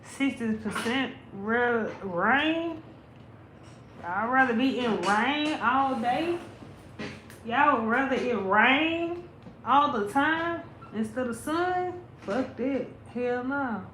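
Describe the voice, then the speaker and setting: A woman's voice: on the high side, lively intonation, slow, a bit bright, slight background noise. A woman in her late thirties, chatting casually and profanely with her viewers. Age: 30s. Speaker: livestream host. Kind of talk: casual live chat. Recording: roomy sound with music behind.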